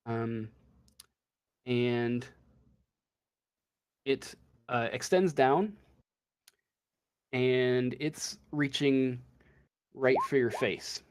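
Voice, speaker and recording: A man working as game master, improvising a tabletop role-playing story in a halting, drawn-out way. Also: medium pitch, smooth.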